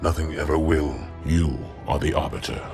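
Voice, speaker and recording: A man's voice: rumbling low, steady tone, moderate pace, over music. A man voicing a disgraced alien warrior commander in a video game cutscene, speaking in a solemn, terse, resigned way. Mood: grim resigned. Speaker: game character.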